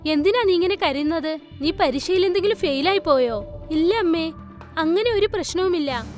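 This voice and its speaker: A woman voicing the mothers and girls in a cartoon story, speaking in a clear, coaxing way. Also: very high voice, animated, normal speed, soft background music. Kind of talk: cartoon voice acting.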